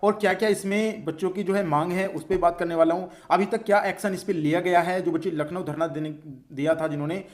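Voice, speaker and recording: A man speaks very quickly in a slightly high voice. He sounds like an online host briefing students, setting out questions and answering them with frequent repetition. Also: steady tone. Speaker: host of an exam news channel for students. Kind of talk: explanatory news update addressed to students.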